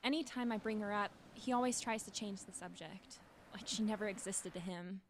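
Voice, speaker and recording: A woman's voice: medium pitch, brisk, slight background noise, close to an everyday voice. A woman playing a grieving, withdrawn teenage girl with a dry, wry manner in an audio drama. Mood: melancholy. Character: grieving teenage girl, withdrawn, sardonic, missing her mother. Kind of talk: fiction podcast audio drama acting.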